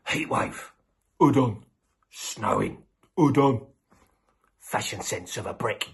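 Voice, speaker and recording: A man's voice: mid-range voice, slow pace, creaky, husky. A man telling a comic bedtime story in a cheeky, slangy way, often half-whispering. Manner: cheeky, slangy, deadpan asides.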